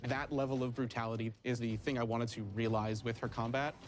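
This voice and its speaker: Mid-pitched man explaining game design. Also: little pitch movement, brisk. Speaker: game developer. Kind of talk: behind-the-scenes interview explanation.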